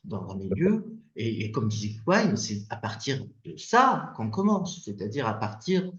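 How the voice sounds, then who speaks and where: A man from Tunisia who wrote the book, discussing philosophy in an animated way while searching for his words. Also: mid-range voice, measured pace. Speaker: philosophy author leading the discussion. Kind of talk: academic discussion. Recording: echoey, dull lo-fi sound.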